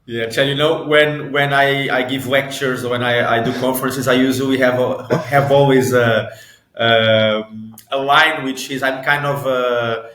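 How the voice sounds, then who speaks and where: A man's voice: mid-range voice, fairly even intonation, a little slow, fairly bright tone, echoey room, poor audio. A man from Portugal in his thirties, a guest in an interview, talking with many restarts and repeated words. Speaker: guest, football agent and sports lawyer. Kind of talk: conversational interview.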